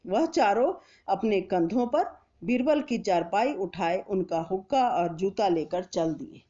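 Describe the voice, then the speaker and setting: A woman narrating a scripted children's story in a deliberate, expressive storytelling manner. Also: medium pitch, highs cut off.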